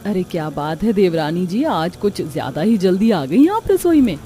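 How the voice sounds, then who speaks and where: A woman's voice: lowish voice, big pitch swings, normal speed, warm tone, a little hiss or hum. Woman playing a nosy, teasing elder sister-in-law. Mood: curious. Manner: playful, probing, polite.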